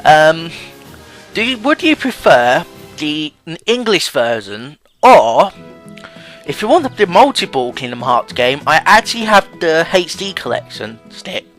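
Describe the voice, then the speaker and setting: A man's voice: fairly high pitch, very animated, measured pace, fairly bright tone. A young man giving casual, rambling and hesitant let's play commentary over game music. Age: young adult.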